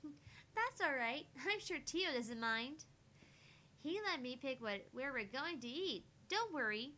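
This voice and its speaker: Woman putting on a high, bright little girl. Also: expressive, slow, a little hiss or hum, full character voice. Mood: cheerful, chatty. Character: cheerful, chatty six-year-old girl in a visual novel. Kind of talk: voiced reading of visual novel dialogue.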